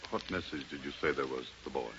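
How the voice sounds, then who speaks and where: An actor playing a formal, deferential household butler in a 1930s radio drama. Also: male voice, rumbling low, quick pace, fairly bright tone. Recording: muffled, noisy 1930s radio recording.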